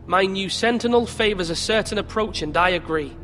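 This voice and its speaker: A man's voice: high voice, a little fast, bright, forceful tone, in a large hall, a little hiss or hum. A man playing an untested young lord who gives orders in a stern, commanding way. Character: young untested male lord, firm but unsure.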